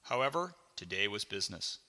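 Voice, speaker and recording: A man reading his own memoir as a scripted audiobook, with dramatic, deliberate storytelling. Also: lowish voice, very animated, pushed and bright, long reverb tail.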